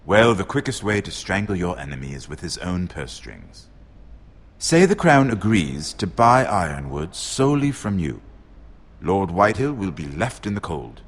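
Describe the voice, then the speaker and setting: A deep-voiced, sardonic lord. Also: male voice, very animated, husky, exaggerated voice. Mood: shrewd bargaining. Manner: measured, sardonic, worldly. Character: shrewd, wry noble lord and royal treasurer. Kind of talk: dramatic video game dialogue.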